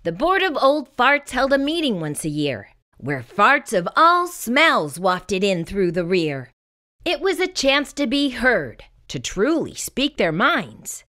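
Expressive bright-voiced woman storyteller. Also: fairly high pitch, slow pace. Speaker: storyteller reading a children's picture book. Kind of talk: expressive scripted read-aloud narration.

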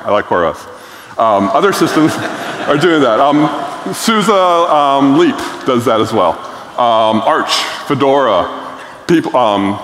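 A man's voice: mid-range voice, fairly bright tone. Blunt middle-aged man in an echoey hall. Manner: blunt, enthusiastic, frequent "um" fillers. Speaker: keynote speaker. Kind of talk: conference keynote talk. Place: large conference stage.

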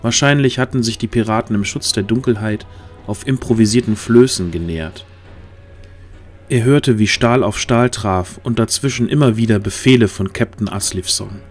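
Low-voiced male audio drama narrator. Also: normal speed, faint music behind.

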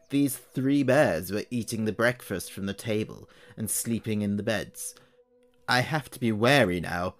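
A man voicing an old witch who complains about her neighbors at a slow, chatty pace. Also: lowish voice, close to an everyday voice. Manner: slow, chatty complaining monologue rising to anger. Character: old witch woman, wary, aggrieved, self-pitying. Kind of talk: audio horror story reading.

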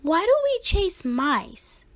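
A woman's voice: very high-pitched, very animated, slow, pitched above the performer's own voice, exaggerated voice. A woman voicing an anxious young boy cat in a storybook read-aloud, pleading and whiny. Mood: curious.

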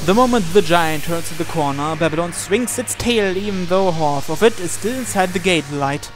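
High male voice, dramatic visual novel narration, read aloud, music in the background. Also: lively intonation, moderate pace. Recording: game music playing behind. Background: video game music.

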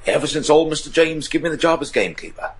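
An actor playing a gruff, plain-spoken old gamekeeper with a rural English accent in a gothic radio drama. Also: male voice, a little high, lively intonation, quick pace, slight background noise, close to an everyday voice. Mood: grim, wary.

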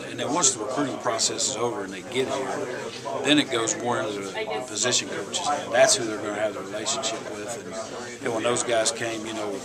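A middle-aged man, a college football coach, answering reporters' questions in a small room, talking easily with lots of "you know" fillers. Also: on the low side. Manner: relaxed, conversational, many "you know" fillers. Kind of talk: press interview answers. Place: small indoor media room. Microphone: several handheld reporter mics held close.

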